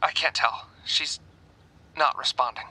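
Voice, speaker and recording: An actor playing an anxious lookout giving urgent warnings in an audio drama. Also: male voice, mid-range voice, steady tone, measured pace, heavy background noise, lightly acted. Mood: worried.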